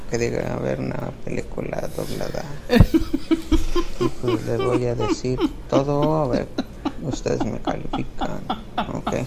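A man putting on a rough, slangy young street character who rambles crudely in a comic monologue. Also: mid-range voice, huge pitch swings, measured pace, very noisy, heavily acted. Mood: rambling.

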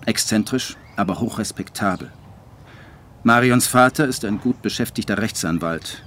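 An actor playing a brooding postwar writer who narrates his life in a measured, dry, literary way for a radio drama. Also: lowish voice, a bit bright, natural voice. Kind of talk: scripted radio drama monologue.

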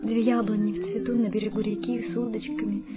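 A woman acting a precocious, capricious girl in a radio drama. The voice is fairly high, with music clearly playing behind it. She is self-assured and playful, teasing and bossing in a matter-of-fact way. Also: expressive, fast, close to an everyday voice. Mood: dreamy.

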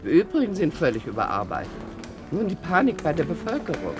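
An actress playing an overworked, matter-of-fact hospital nurse in a science-fiction radio drama. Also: female voice, low-pitched, wildly swooping pitch, fast talker, over music. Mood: weary, grim. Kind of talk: German science-fiction radio play dialogue.

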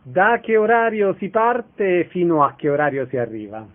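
A man's voice: high-pitched, very animated, normal speed. A man hosting a radio interview show, asking questions and making announcements in an orderly, formal way. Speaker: radio host. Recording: narrow, phone-like radio sound.

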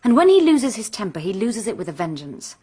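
A woman's voice: mid-range voice, sing-song, brisk, dark tone, husky. An actress playing a frank, anxious young English woman in a radio drama, direct and emphatic, with an English accent. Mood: anxious, confiding.

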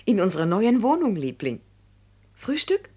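An actress playing a loving, cheerful young mistress in a radio play, coaxing in a husky voice. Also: female voice, medium pitch, very animated, normal speed, darkish tone. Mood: bright, coaxing. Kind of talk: German radio play acting.

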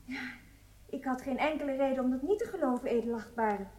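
An actress in a radio drama playing a nervous, evasive wife on trial, speaking hesitantly and deferentially. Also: female voice, high-pitched, a little fast, dark tone, natural voice. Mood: uneasy, defensive.

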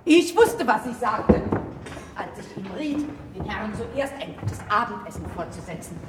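An actress as a scolding housekeeper, noisy echoey room. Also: female voice, medium pitch, sing-song, normal speed, lightly acted. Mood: grumbling, indignant. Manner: scolding, blunt. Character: grumbling, earthy, indignant housekeeper woman. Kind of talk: theatrical radio drama acting. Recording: noisy, echoey radio play recording.

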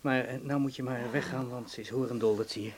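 An actor in a radio drama playing an anxious, conciliatory husband in wartime hiding, earnest and placating. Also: male voice, mid-range voice, steady tone, fast talker, noisy background, lightly acted. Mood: resigned, apologetic. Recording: faintly clicky old radio recording.

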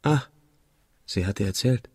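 An actor playing a haunted, guilt-laden young idealist in a radio drama, confessional and intense, with a breathy, husky, creaky voice. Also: male voice, lowish voice, unhurried, a little mellow, no room sound. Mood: guarded.

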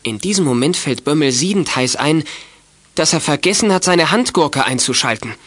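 A man's voice: a little high, animated, moderate pace, a bit bright, no room sound. A man narrating a children's detective radio play with scripted, dramatic storytelling.

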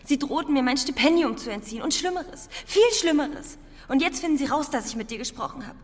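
Anguished high-voiced young student, reverberant. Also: female voice, expressive, brisk. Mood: bitter, frightened. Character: frightened, bitter young female student informant in Soviet-era thriller. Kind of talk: radio drama acting. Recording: long reverberant hall, music behind.

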